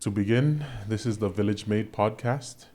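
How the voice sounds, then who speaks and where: A male podcast host opens with a prepared introduction, then moves into plain, direct questions for his guest. His voice sits low and has a mellow, soft-edged tone. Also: normal speed, slight background noise. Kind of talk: podcast interview.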